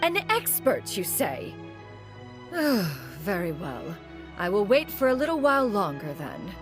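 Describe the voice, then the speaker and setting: A woman's voice: medium pitch, very animated, slow pace, soft background music. A woman playing a proud, dignified mentor in an anime game, speaking in a formal, stately way. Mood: impatient, resigned. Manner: formal, stately, archaic phrasing. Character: dignified, proud immortal woman mentor, haughty yet caring.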